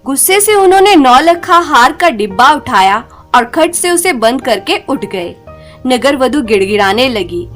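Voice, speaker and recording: A woman narrating a scripted folk tale as a storyteller, in an expressive, measured way. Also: high voice, soft background music.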